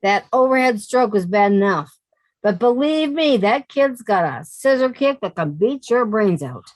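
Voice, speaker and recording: A woman's voice: fairly high pitch, expressive, no echo. A woman playing an exasperated sensible young woman. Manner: brisk, fed up, sarcastic. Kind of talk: old-time radio comedy acting.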